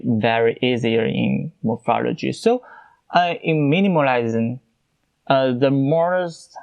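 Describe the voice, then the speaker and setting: A man hosts a solo podcast, talking off the cuff in English, his after Mandarin. He speaks slowly and haltingly, stopping to search for words and repeating himself. His voice sounds smooth and clear. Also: medium pitch, highs cut off. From China. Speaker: podcast host. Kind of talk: unscripted solo podcast talk.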